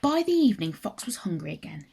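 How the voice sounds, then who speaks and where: A woman reads a children's fable aloud with expressive, deliberate delivery. Her voice is a little husky and creaky, and she takes her time over the phrases. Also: medium pitch. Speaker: teacher reading a story aloud. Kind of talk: children's story narration.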